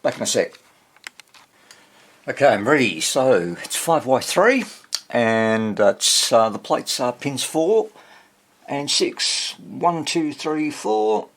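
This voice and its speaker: A man with a husky voice and plenty of fry talks through a repair at a slow pace. He sounds like a casual hobby video host, working without a script. He hesitates often and asks himself questions as he goes. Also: medium pitch, sing-song, fairly bright tone. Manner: hesitant, thinking aloud, muttering self-questions. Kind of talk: casual unscripted repair walkthrough.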